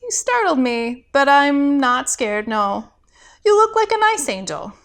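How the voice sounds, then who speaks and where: A woman's voice: high-pitched, sing-song, unhurried, a bit bright, voice pushed up, heavily acted. A woman voicing a frank, firm young woman in a storybook tale, startled but unafraid. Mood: startled, friendly.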